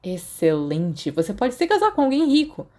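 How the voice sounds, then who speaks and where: A Brazilian woman voicing a cheeky, teasing teenage boy wizard in a story, playful and quick to protest. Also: on the high side, huge pitch swings, darkish tone, voice pushed up.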